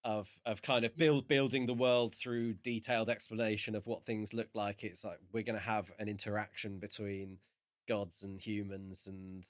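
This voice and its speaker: A man with a fairly low voice co-hosts a book podcast. He talks casually but analytically, feeling his way through measured phrases, and the sound is very dry with no room to it. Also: little pitch movement, no room sound. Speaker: podcast co-host.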